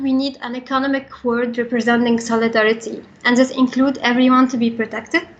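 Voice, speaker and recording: Young female speaker, Egyptian accent, higher register, reading a statement carefully and earnestly, slightly dull sound. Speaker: regional coordinator for a young workers' organisation. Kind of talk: prepared talk, reading statement. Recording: dull, band-limited recording.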